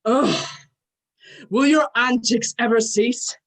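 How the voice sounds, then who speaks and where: An amateur performer playing a proud, gruffly impatient male thunder god with formal, archaic phrasing in a play reading. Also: mid-range voice, slow, a bit bright. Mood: exasperated.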